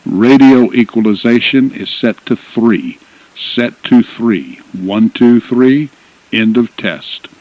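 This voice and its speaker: A man's voice: mid-range voice, takes it slowly, no room sound. A man making clipped, repetitive microphone test announcements, heard through an amateur radio's monitor output. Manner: clipped, repetitive short phrases. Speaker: radio operator giving test recordings. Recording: dull band-limited amateur radio monitor audio.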